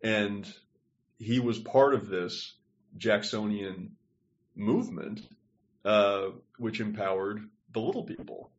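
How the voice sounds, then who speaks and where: Low-voiced man thinking aloud. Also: slow, dull audio. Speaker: podcast co-host. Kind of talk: casual discussion.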